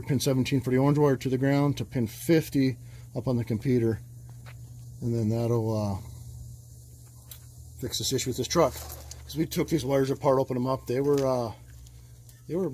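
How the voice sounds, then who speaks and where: A man's voice: mid-range voice, dark tone, a little hiss or hum. A man working as an auto mechanic, talking through a repair in a loose, rambling way with plenty of fillers. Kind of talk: casual repair walkthrough. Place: garage, under a truck.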